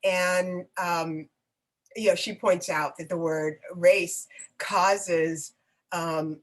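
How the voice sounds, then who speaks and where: A woman interviewing a guest in a live online conversation, speaking haltingly with many pauses and restarts and warm encouragement, heard over a video call. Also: fairly low pitch, fairly even intonation. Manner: effusive and encouraging. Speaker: interviewer for a nonprofit network.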